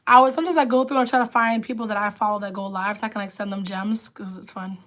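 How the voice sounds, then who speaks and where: A woman with a raspy, lower alto voice, hosting a casual livestream and chatting with viewers as she answers their comments. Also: fairly even intonation, fast talker, a bit bright. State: raspy voice from illness. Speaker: livestream host.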